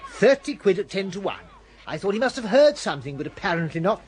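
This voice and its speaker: A sly young upper-class English schemer. The voice is high and lively in pitch, breezily smug and needling, in British radio comedy style. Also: male voice, quick pace.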